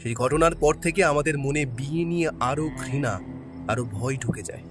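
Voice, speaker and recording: A man's voice: mid-range voice, moderate pace, natural voice. A man playing a guarded middle-aged man who tells a sad family history in a somber, reluctant way. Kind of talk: audio drama acting. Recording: faint background music.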